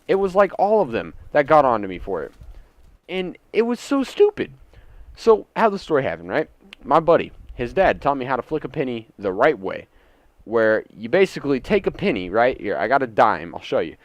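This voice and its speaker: A man's voice: on the high side, sing-song, normal speed, dry recording. A young man telling a casual, indignant, sweary story for his gaming channel. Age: teens to young adult. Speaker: gaming YouTuber. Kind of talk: casual story time over gameplay. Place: indoors at home.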